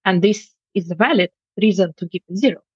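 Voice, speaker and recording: A woman guest lays out her points in a measured, explanatory way, slipping in dry humor. Her mid-pitched voice comes through a dull, muffled remote connection with no room sound at all. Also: breathy edge, no echo. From Finland. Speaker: guest customer experience expert. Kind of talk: interview answers.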